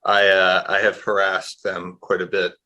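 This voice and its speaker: A man with a fairly low voice that has a bright, sharp edge. He speaks as an industrials analyst in off-the-cuff market commentary, wandering through his points candidly and dropping dry asides. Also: monotone, measured pace. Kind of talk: conversational market commentary on a morning call.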